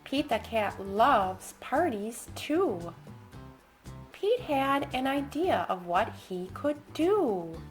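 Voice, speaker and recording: The woman at library story hour, singing out refrains in a high voice, slow enough for toddlers, music playing softly along. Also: sing-song. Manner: playful, exaggerated, sing-song refrains. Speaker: children's book reader. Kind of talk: animated picture-book read-aloud.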